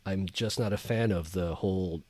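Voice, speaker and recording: A man with a fairly low voice speaks as a podcast co-host. He talks about films informally and in a measured way, with a dry sound free of room echo. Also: a little mellow. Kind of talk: casual chat about films.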